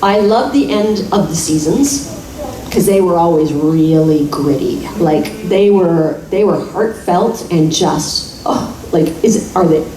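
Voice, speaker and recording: Middle-aged woman, con panelist, mellow and chatty; roomy, distant sound. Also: lowish voice, measured pace, a little hiss or hum. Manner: chatty and self-deprecating, laughs often. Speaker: voice actor on a convention panel. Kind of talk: casual panel chat. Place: convention hall. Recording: echoey hall from far off.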